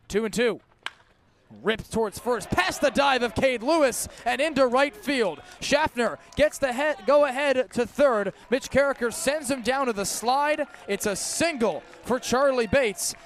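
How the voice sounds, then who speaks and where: A man doing live radio baseball play-by-play outdoors at a ballpark, in clipped, descriptive calls that rise with the action. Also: sits high. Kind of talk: live radio sports commentary.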